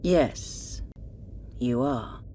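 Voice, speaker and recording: A woman voicing a sly court minister in a video game, breathy and insinuating at phrase ends. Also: extremely low pitch, drawn-out words, dark tone, a little hiss or hum, exaggerated voice. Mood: sly, deferential. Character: sly, silky-voiced scheming female court minister. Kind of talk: video game cutscene acting.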